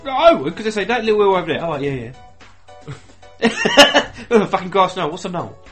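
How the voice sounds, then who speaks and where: A man's voice: high voice, very animated, moderate pace, a bit bright, faint music behind. A man from England co-hosting a podcast, mumbling in slangy, sweary casual banter. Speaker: podcast co-host.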